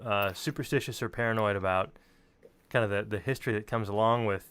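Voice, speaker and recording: A man hosting a casual interview, halting as he thinks his questions out loud. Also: fairly low pitch, clear, resonant tone. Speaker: show host, interviewer.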